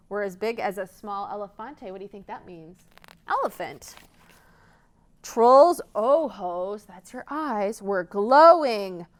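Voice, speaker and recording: A woman reading a children's story aloud as a library storyteller, expressive, pausing to ask and explain. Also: medium pitch.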